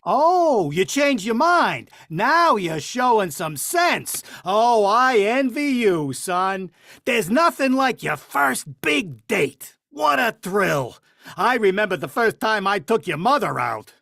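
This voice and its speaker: A woman's voice: mid-range voice, big pitch swings, slow pace, creaky, fairly bright tone, no room sound, studio quality, heavily acted. A woman playing a bumbling middle-aged father in an amateur vintage radio play, blustery and sing-song.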